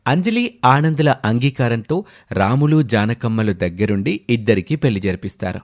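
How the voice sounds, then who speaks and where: A man's voice: medium pitch, expressive, moderate pace. A man narrating a scripted story as a storyteller, over background music.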